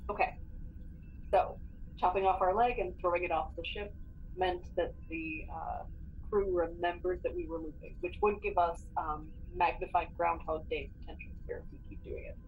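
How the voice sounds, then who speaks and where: A woman's voice: on the low side, huge pitch swings, normal speed. A woman talking casually as a player in a tabletop game, planning moves aloud. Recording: dull lo-fi mic, background noise.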